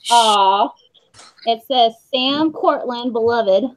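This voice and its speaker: A woman's voice: a little high, slow pace. A woman chatting casually as book club host, speaking from home over a video call. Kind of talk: casual chat. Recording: dull lo-fi call, faint noise, clicks.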